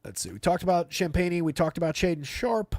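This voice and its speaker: A man's voice: high voice, lively intonation, fast talker, a little mellow, no echo. A man hosting a live fantasy basketball stream, talking through analysis off the cuff in a conversational, dry way. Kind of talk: live unscripted sports analysis with chat replies.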